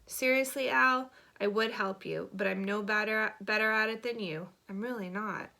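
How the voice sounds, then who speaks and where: A woman's voice: sits high, very animated, moderate pace, pushed and bright, pitched above the performer's own voice, heavily acted. A woman voicing an easygoing, joking older brother in an audiobook, reluctant but kind, with casual, emphatic phrasing. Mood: gentle sincerity.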